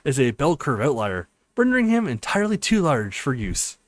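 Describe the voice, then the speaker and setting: A man's voice: mid-range voice, sing-song, moderate pace, no room sound, lightly acted. A man voicing a nerdy, smug female hacker with wordy, mock-formal phrasing in a visual novel. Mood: smug, teasing.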